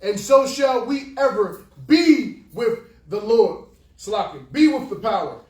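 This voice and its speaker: A man's voice: sits high, expressive, takes it slowly, darkish tone. A man reading scripture aloud as the reader in a religious class. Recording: echoey room, distant mic.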